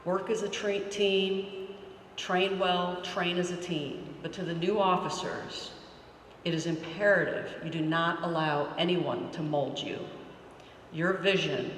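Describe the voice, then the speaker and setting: High-voiced man speaking in an echoey hall. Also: steady tone, unhurried, a bit bright, far from the mic, slight background noise. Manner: earnest, emphatic, asks rhetorical questions. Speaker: police chief. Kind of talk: graduation ceremony speech. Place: large indoor hall.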